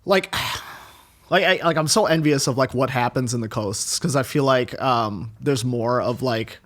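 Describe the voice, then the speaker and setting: Chef guy, 30s, rambles, tons of likes and ums, gets animated. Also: medium pitch, a little slow. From Chicago, USA. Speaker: guest chef. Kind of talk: casual interview chat.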